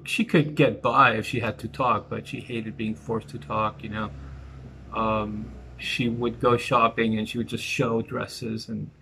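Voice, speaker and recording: A man with a rough, gravelly edge in his voice tells his own story at an ordinary pitch. He rambles and circles back, filling pauses with ums and uhs. It sounds like a casual, unscripted personal video. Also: a bit bright. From United States. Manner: rambling, reflective, many fillers and restarts. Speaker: vlogger. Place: outdoors near a bus stop in a small Italian town.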